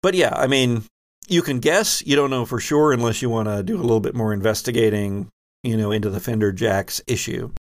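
A man hosting a tabletop role-playing game, explaining the rules in a halting, self-correcting way. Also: mid-range voice. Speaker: host and game master.

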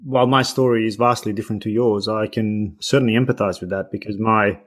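A man from Bosnia and Herzegovina, a native Bosnian speaker, hosting a podcast interview; he reads a measured introduction and then speaks with many hesitations. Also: on the low side, steady tone. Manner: measured, hesitant with many fillers when unscripted. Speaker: podcast host. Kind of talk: scripted guest introduction and interview.

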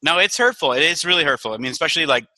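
Bright, upbeat Wisconsin-raised man. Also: fairly high pitch, racing, no room sound. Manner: energetic, candid, swears casually. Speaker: brewery owner. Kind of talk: casual video call chat. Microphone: video call.

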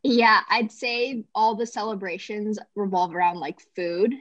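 A woman's voice: medium pitch, steady tone, measured pace, a bit bright, no room sound, highs cut off. A young woman from Canada, chatting casually in an interview and often saying "like". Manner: chatty, lots of "like" fillers.

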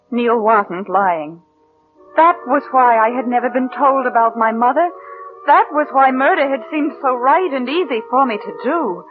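An American actress playing a cold, calculating murderess who passes as meek, in controlled, deliberate mid-century radio suspense style. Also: female voice, on the high side, close to an everyday voice. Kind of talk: 1940s-50s American radio suspense acting and narration. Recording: muffled vintage radio recording.

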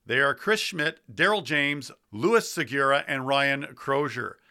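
A man's voice: medium pitch, lively intonation, a little slow, bright, forceful tone. A man hosting a podcast, interviewing and presenting in a conversational style.

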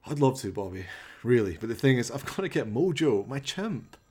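A man's voice: mid-range voice, sing-song, a little fast, a little mellow, husky. A man voicing an evasive, weary everyman hero who talks in a hesitant, placating way in a radio play.

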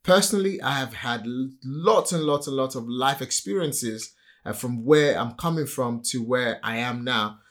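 A man's voice: mid-range voice, moderate pace, a bit bright. A man from Nigeria whose first language is not English, co-hosting a podcast in an upbeat, motivational way with frequent fillers. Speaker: podcast co-host.

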